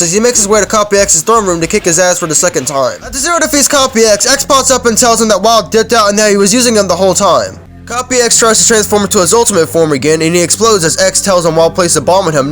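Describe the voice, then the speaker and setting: A man with a high-pitched voice delivers an informal scripted game recap. He talks very quickly, moving through the narration at speed, with light music behind him. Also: a bit bright. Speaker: video game reviewer. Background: background music.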